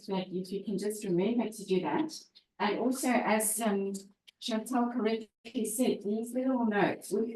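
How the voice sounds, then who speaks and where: A woman's voice: mid-range voice, a little mellow. Chatty woman chairing in an echoey room. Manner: chatty, laughing, with many fillers. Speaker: meeting chair. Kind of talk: club meeting hosting. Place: meeting room. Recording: roomy, echoey meeting room.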